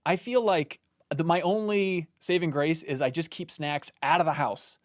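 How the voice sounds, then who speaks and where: A man's voice: a little high, normal speed, no echo, clean studio sound. A man in casual podcast chat, asking questions in a self-deprecating way.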